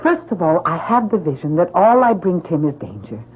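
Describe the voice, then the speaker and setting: A woman's voice: on the low side, big pitch swings, moderate pace, husky. An actress playing an anguished, self-doubting young woman in love, pleading and hesitant in an old-time radio drama. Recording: tinny, hissy old radio recording.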